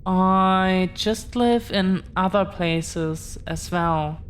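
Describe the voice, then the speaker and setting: A woman playing an affectionate, protective dragon-blooded girlfriend in an intimate audio roleplay, speaking earnestly with halting, deliberate pauses. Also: fairly low pitch, a little hiss or hum. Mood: earnest, solemn.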